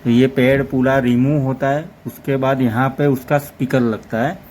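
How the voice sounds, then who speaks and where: A man's voice: medium pitch, steady tone, moderate pace, darkish tone, a little hiss or hum. A man giving a casual, rambling product demo as a motorcycle vlogger, stringing his points together with "plus".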